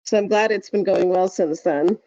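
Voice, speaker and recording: A woman's voice: mid-range voice, normal speed, dark tone, no echo, highs cut off. A woman co-hosting a casual podcast, speaking with hesitant, understated, dry reactions. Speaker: podcast co-host.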